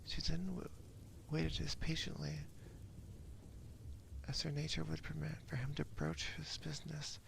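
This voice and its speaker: A man reading a story aloud as ASMR in a soft, hushed, unhurried whisper, close to a binaural microphone. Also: on the high side, monotone, gravelly, background noise. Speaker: ASMR streamer.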